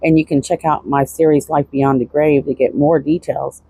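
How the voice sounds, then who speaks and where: Low-key woman with a soft, mellow tone. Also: steady tone, moderate pace, breathy edge, no room sound, rough recording. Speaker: true-crime commentator and host. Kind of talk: casual analytical commentary.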